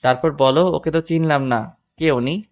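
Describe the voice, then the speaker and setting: A low-pitched voice of a woman as a young husband and romantic hero in an audio drama. The delivery is calm, self-assured and lightly teasing. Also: moderate pace. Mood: charming, confident. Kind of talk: scripted audio story acting with some first-person narration.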